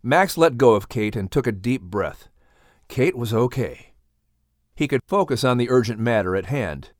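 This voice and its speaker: A man's voice: medium pitch, animated, moderate pace, dry recording, studio quality. A man hosting and narrating a scripted animal story as a storyteller. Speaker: host and narrator.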